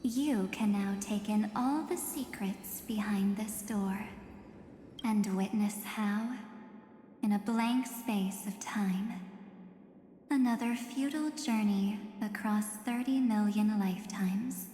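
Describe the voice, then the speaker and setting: An actress playing a calm, enigmatic female spirit in a video game, with measured, cryptic, theatrical delivery. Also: mid-range voice, natural voice. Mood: inviting, mysterious. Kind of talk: video game story voice acting. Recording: echoey hall-like reverb over music.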